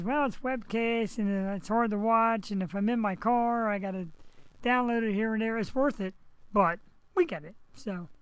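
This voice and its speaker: A man hosts a live webcast talk show through a headset microphone. His voice is raspy and hoarse after surgery. He rambles in a chatty way, talking straight to his viewers. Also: high voice, dry recording, poor audio. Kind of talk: casual live webcast monologue.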